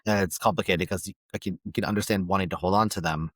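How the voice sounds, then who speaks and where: A man from Palestine, a guest on a podcast, speaking thoughtfully and pausing often to search for words. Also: deep voice, fairly even intonation, fairly bright tone, no room sound, studio quality. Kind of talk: reflective conversation.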